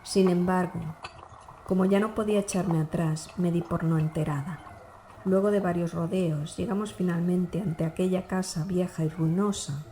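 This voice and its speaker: A woman narrating a dark classic novel as an audiobook, in a measured, formal storytelling manner. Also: fairly low pitch, steady tone, a little hiss or hum.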